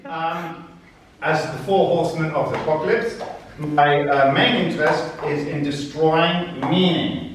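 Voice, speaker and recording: Brief: man doing a rambling spirit, put-on German accent, higher voice, echoey room heard from afar. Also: steady tone, unhurried, far from the mic, background noise, natural voice. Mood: playful. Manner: playful, rambling, hesitant. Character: male spirit, four horsemen of the apocalypse, out to destroy meaning. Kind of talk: live performance poetry improv. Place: live arts venue, indoors.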